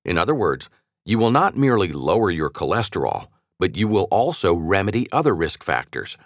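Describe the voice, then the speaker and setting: Male, low voice, animated intonation, measured audiobook narration, articulate, assured, dry studio recording. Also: no echo. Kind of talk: scripted nonfiction audiobook narration.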